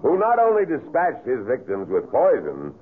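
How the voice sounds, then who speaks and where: A man's voice: medium pitch, huge pitch swings, normal speed. An actor playing a theatrical male tour guide at a murder museum in a 1970s radio drama, with lurid, grandiose barker's patter. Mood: grandiose showmanship. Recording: muffled old radio recording.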